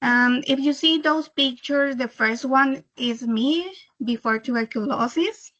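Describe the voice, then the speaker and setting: A woman in her thirties from El Salvador, speaking English with a Salvadoran Spanish accent, telling her own story in a slow, careful, slightly halting way over a video call. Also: high voice, fairly bright tone. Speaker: webinar speaker. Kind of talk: personal testimony presentation. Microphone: computer microphone on video call. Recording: muffled video-call audio.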